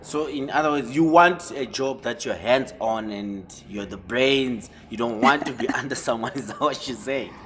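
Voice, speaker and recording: A man's voice: medium pitch, moderate pace, few pauses, background noise. A man hosting a talk show, asking questions with frequent restarts and light teasing. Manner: hesitant, repeats words, teasing. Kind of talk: interview questions.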